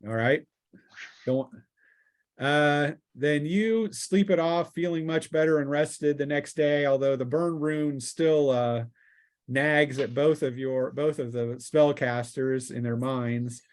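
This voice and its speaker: A man running a tabletop roleplaying game as game master, narrating and explaining rulings casually in a halting, explanatory way. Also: a little high, fairly even intonation.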